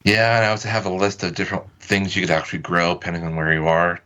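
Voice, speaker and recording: A man's voice: deep voice, level tone, fast, bright, forceful tone. An American man chatting casually as a podcast guest, wording his points loosely and tentatively. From United States. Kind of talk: casual interview chat.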